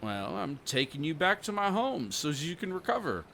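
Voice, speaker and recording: Man acting a bright-voiced folksy country fisherman. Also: on the high side, big pitch swings, moderate pace, creaky, voice pushed up, exaggerated voice. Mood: hearty, helpful. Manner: folksy rural phrasing, dropped g's. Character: kindly rustic fisherman, folksy storyteller, hospitable. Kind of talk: audio roleplay acting.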